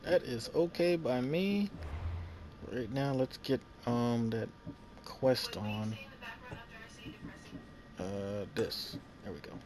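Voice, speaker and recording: A man's voice: mid-range voice, takes it slowly, long pauses, noisy background. A man casually commenting as he plays a video game, chatting and laughing with his viewers. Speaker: gamer playing a video game.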